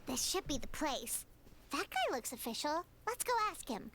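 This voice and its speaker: A woman voicing a tiny, bubbly, outspoken fairy companion with a squeaky, childlike voice in a video game. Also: big pitch swings, normal speed, over music. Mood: chirpy.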